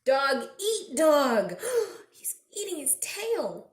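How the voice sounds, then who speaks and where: Very animated woman reading at children's storytime. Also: sits high, extremely slow. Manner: expressive, addressing small children with prompting questions. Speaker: children's librarian reading picture books. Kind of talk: children's storytime read-aloud with questions. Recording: roomy, echoing indoor recording.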